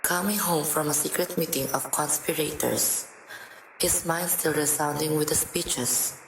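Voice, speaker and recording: A woman narrating an amateur radio drama in a solemn, measured, scripted style. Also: low-pitched, creaky. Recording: roomy echo, faint music behind.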